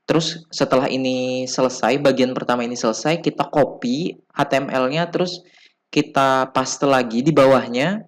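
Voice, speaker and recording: A man with an Indonesian accent giving an informal coding tutorial as an instructor, explaining haltingly, step by step. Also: mid-range voice. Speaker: programming instructor.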